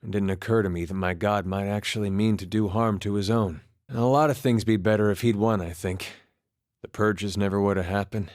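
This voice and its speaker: A man's voice: on the low side, steady tone, a little fast, a little mellow, no echo, studio quality, natural voice. A man voicing a laid-back, wry farmer turned soldier in a video game, with a plainspoken, understated folksy manner. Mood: resigned, wistful. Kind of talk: performed game dialogue.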